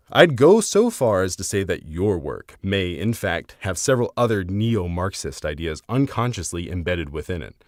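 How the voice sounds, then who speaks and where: A man narrating a scripted argumentative essay in a formal, measured, academic manner. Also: deep voice, darkish tone, no echo, clean studio sound. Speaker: video essayist.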